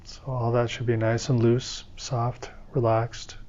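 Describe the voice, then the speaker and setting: A man guiding a slow, soothing meditation session, giving gentle step-by-step instructions. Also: mid-range voice, flat delivery, dull audio. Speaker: meditation teacher. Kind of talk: guided meditation.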